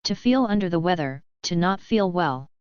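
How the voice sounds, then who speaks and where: A woman slowly reading English idioms with their meanings and example sentences, repeating each one. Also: on the low side, expressive, darkish tone, clean tone, no room sound. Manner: reads each line plainly and repeats it. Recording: dull, slightly lo-fi voice track.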